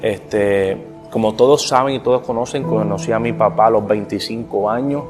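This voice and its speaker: A man's voice: lowish voice, over music. A man from Puerto Rico with a Puerto Rican Spanish accent, earnestly telling his faith testimony and quoting scripture. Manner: earnest, quotes scripture, slows for emphasis. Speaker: testimony speaker.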